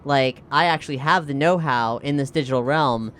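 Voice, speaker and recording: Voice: mid-range voice, moderate pace, fairly bright tone. A tabletop RPG player chatting casually and jokily, improvising and at times speaking in character.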